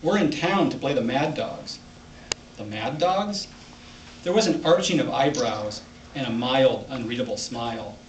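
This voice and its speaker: A man reads literary fiction aloud at a live author reading. His voice sits at an average pitch and comes from some distance in an echoey room, with light noise behind it. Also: unhurried. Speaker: author reading own short story. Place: library event room, indoors.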